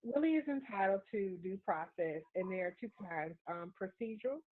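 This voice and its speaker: A woman with a head cold and a stuffy nose presents a case to her class on a video call in a measured, explanatory way, often saying "um" and "of course.". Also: medium pitch, animated, phone-quality sound. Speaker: student presenter.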